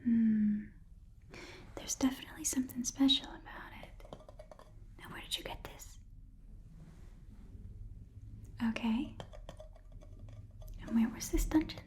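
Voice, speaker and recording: A woman voicing an eager, folksy goblin pawn shop keeper in a soft whispered ASMR roleplay, murmuring haltingly to a customer. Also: fairly high pitch, natural voice. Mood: curious, folksy. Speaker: ASMR performer playing a shopkeeper.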